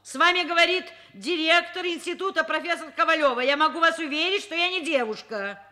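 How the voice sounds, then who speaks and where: An actress playing an overworked middle-aged professor and mother in a Soviet radio drama, expressive, wry and self-mocking. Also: very high voice, fairly quick. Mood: exasperated. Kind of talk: Soviet radio drama acting, first-person narration.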